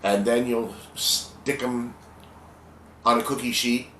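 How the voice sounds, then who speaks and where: Slow, chatty man in an echoey kitchen. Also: medium pitch, a bit bright, a little hiss or hum. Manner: slow, unhurried, chatty and homely. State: slightly congested. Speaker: home cooking host. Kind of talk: casual cooking video. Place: home kitchen, indoors.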